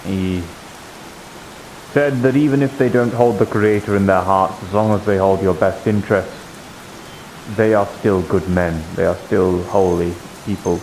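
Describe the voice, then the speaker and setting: Man playing a low-voiced, devout holy warrior. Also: steady tone, normal speed, a little mellow, a little hiss or hum, low-quality recording. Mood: earnest, reflective. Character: earnest, devout holy warrior, plain-spoken and loyal. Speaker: roleplayer. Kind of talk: improvised in-character fantasy roleplay. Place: live online VR roleplay stream.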